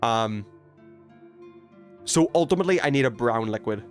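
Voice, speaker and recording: A man doing casual, excitable gaming commentary, thinking aloud in slang as he chats with stream viewers. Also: mid-range voice, normal speed, faint music behind. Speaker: video game livestreamer.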